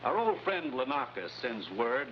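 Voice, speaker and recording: A man's voice: mid-range voice, expressive, moderate pace, close to an everyday voice. Guy as serious spy boss, mid voice, firm orders, noisy old tv sound. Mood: grave, commanding. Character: grave, authoritative commissioner briefing his agent. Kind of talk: 1950s television adventure drama acting. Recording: muffled old TV soundtrack, hissy noise.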